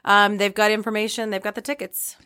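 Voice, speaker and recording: A woman's voice: mid-range voice, flat delivery, fairly quick, bright, forceful tone, clear, resonant tone. A middle-aged woman chatting casually as an interview guest. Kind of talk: casual chat.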